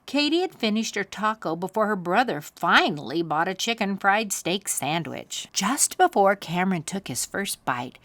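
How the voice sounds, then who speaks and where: A woman hosting a children's story time, reading aloud in an animated storybook style. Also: medium pitch, moderate pace, hardly any pauses, dry recording.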